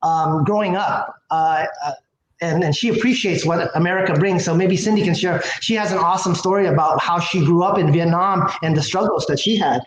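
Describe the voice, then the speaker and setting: A man with a fairly high voice talks over a video call, enthusiastic and a little rambling, full of fillers and restarts. His room echoes and has a faint hum of noise. He sounds like a business owner on a guest spot. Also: a little fast. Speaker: company president and CEO, guest. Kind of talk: casual interview talk. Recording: roomy with faint background noise.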